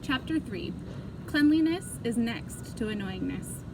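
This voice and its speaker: High-voiced woman reading a children's book aloud. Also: animated, unhurried. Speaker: storybook reader. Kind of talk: expressive children's read-aloud narration. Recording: clear background noise.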